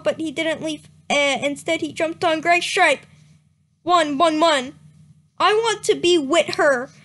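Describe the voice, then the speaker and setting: A woman's voice: sits high, fairly bright tone. A young woman giving a comedic dramatic reading of badly written fan fiction, stumbling over misspellings and breaking into laughter. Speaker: reader.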